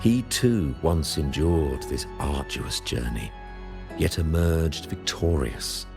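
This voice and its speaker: An actor voicing a stern, solemn religious ruler in a video game, with formal, ceremonial and pompous oratory. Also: male voice, a bass voice, gravelly, darkish tone, exaggerated voice.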